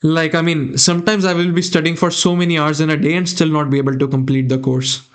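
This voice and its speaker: A man's voice: fairly high pitch, level tone, fast talker, smooth. Young Indian man streaming chess. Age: young adult. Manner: thinking aloud, chatting with viewers. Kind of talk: live chess commentary. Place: small room indoors.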